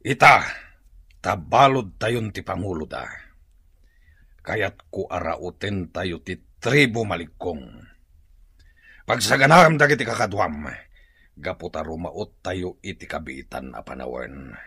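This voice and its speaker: A gloating warmonger chief for radio drama. The voice is deep and rough with gravel, and it swings theatrically as he threatens. It is recorded close and dry. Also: expressive, measured pace, long pauses, no echo, full character voice. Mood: commanding, gloating. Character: menacing male chief of a rival tribe, gloating warmonger.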